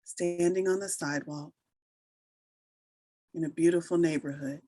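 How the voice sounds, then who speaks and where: A woman leading a guided meditation, giving soothing, unhurried instructions with long pauses between phrases. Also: low-pitched, fairly even intonation, warm tone, dry recording, studio quality. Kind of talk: slow guided meditation narration.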